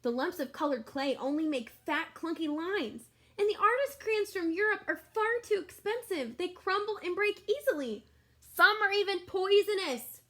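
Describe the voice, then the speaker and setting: Storybook townsfolk played by a woman: very high, emphatic, full of complaint. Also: moderate pace. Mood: exasperated complaint. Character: complaining townsfolk demanding better crayons, storybook.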